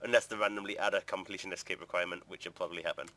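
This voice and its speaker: A man's voice: deep voice, steady tone, very fast, sharp tone. Casual man chatting through gameplay. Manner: chatty, informal rambling. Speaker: gaming video creator.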